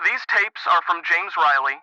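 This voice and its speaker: A stammering paranoid caller. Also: male voice, a little high, animated, moderate pace, sharp tone, dry recording, dull audio. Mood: nervous. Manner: stammering, hesitant. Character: nervous paranoid young male caller. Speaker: radio caller.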